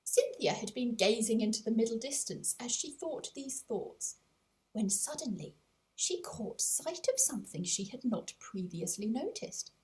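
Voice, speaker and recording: Expressive, high-pitched woman storyteller. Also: unhurried. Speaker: author narrating her own audiobook. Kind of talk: scripted storybook narration.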